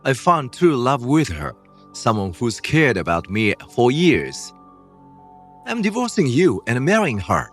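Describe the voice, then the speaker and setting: Actor as callous cheating husband, brazen, mid-pitched, hugely animated intonation, manga dub. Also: male voice, measured pace, faint music behind. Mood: brazen, cold. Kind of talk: dubbed manga drama acting.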